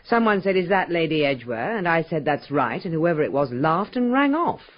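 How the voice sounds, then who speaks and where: A vain, flighty society lady in a radio play. Her delivery is airy and theatrical, swooping widely in pitch. The sound is slightly muffled. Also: very animated, moderate pace. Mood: airy, unconcerned. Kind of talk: radio drama acting.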